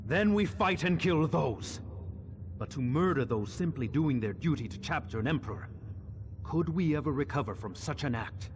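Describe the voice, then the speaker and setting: An actor playing an earnest, troubled and principled space marine in a video game. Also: male voice, a little high, animated, normal speed, slight background noise, lightly acted.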